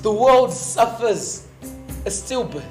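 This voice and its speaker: A woman performing a theatrical spoken-word monologue as a solemn, impassioned narrator, with deliberate dramatic phrasing. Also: on the high side, animated. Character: solemn, impassioned young woman narrator decrying youth suffering. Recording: echoey stage hall, music underneath. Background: music.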